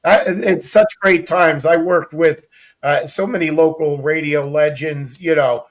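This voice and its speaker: An American man with a fairly high voice hosts a relaxed interview. He chats informally and with enthusiasm, like a colleague swapping memories. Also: a little slow. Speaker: podcast host, former radio colleague. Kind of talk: casual interview chat.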